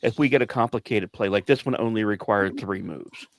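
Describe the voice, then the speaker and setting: Man, lower pitch, dry recording, casual group chat, director, stammers and repeats himself. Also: a little fast, dry recording. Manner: stammering, repeats words as he talks. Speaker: producer and director of a radio play.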